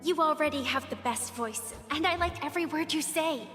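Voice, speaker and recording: A gentle young female game character with a high voice. She speaks in earnest, formal scripted lines, longing for kindness, and music plays along with her. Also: moderate pace. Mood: sweet praise.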